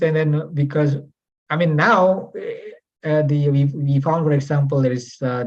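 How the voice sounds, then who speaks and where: A man whose first language is Indonesian moderates a casual online panel, halting and restarting often with plenty of fillers. His voice sits at a middling pitch and comes through a dull, lo-fi video call line. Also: darkish tone. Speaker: webinar moderator.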